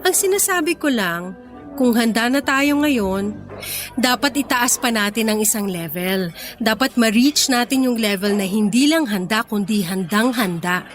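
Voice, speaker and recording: A young businesswoman girlfriend in a Filipino radio romance. Her voice sits quite high and she is expressive and teasing, playful but careful with her heart. Music plays behind her. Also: unhurried. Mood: teasing, reassuring. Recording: background music under the voice.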